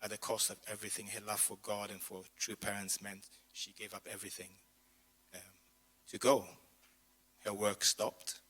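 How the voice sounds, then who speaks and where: Pastor with a bright, strained voice. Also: male voice, lowish voice, flat delivery, normal speed, low-quality recording. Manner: conversational, chatty, with asides to the congregation. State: voice strained, says he lost his voice. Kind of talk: sermon with personal stories. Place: church community hall.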